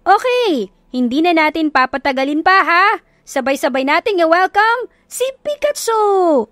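Woman performing an excited, high-pitched kids' party host. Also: no room sound. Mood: excited, rousing. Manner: loud, bubbly, drawn-out announcing. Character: excited, booming children's party host hyping up kids.

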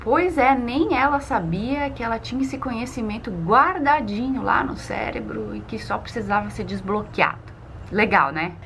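A woman's voice: fairly high pitch, moderate pace, vocal fry, slight background noise. A woman in her forties with a southern Brazilian accent, talking expressively to the camera as a channel host, recorded outdoors in a garden. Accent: southern Brazilian Portuguese. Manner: chatty, expressive. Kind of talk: casual vlog narration.

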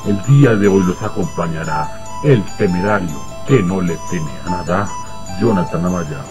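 A man's voice: sits low, normal speed, music in the background, close to an everyday voice. A man playing a mock-ominous narrator of a comic horror radio play, dramatic and prone to correcting himself.

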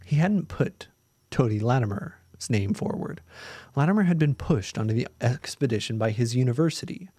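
A man in his thirties reads a science fiction story aloud into a boom-arm studio mic. His pitch sits in the middle range, and the sound is dry and close with no room echo. He reads at a steady pace and lifts his voice a little for the characters' lines. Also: normal speed, breathy edge. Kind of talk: live reading of a novella, doing dialogue lightly. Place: small room with green screen, indoors. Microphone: studio mic on boom arm close to mouth.

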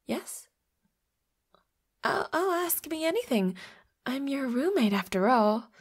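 A woman's voice: high voice, very animated, slow, heavily acted. A woman voicing a flirty, playfully predatory vampire roommate in an intimate audio roleplay, with a coy, teasing manner. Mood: friendly, playful. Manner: coy, giggly, teasing, drops into breathy whispers.

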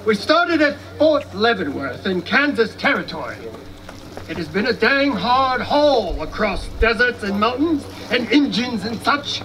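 A man's voice: very high voice, sing-song, unhurried, bright, forceful tone, heavily acted. A man playing a folksy, aggrieved American dragoon sergeant from the 1840s in an outdoor stage reenactment. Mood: grumbling. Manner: folksy, complaining, theatrical. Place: outdoor amphitheater in a park. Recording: distant outdoor microphone, background noise.

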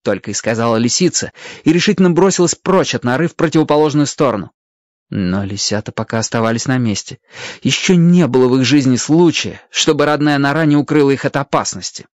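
Мужчина-рассказчик, сухая студийная запись. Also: male voice, medium pitch, lively intonation, a little fast, no echo. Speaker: narrator. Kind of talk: scripted children's story narration.

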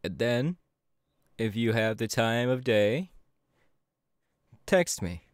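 A man's voice: medium pitch, expressive, slow pace, clear, resonant tone, no echo, clean studio sound. A man playing a friendly, bashful young hero with a hesitant, playful manner in an ASMR audio roleplay. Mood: sincere, bashful.

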